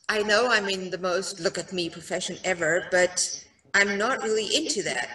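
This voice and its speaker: A middle-aged woman with a South African accent talks through a headset on a video call. Her voice sits fairly low. The call sounds roomy and a little far off. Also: fairly bright tone. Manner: chatty, self-deprecating, lots of ums. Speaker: actress, interview guest. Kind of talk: casual video-call interview. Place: bright room with a window.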